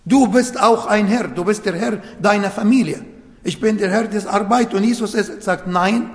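A man with a fairly high voice preaches slowly and emphatically in a language that is not his first, stumbling over small points of grammar. His words ring out with a long reverb, as if he stands in a large church hall. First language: not German. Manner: non-native German with grammar slips, slow emphatic preaching. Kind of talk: sermon.

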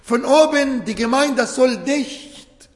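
A man whose first language is Arabic, preaching a sermon in slow, emphatic phrases with non-native grammar. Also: extremely high pitch. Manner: slow, emphatic phrasing with short broken clauses. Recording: echoey hall.

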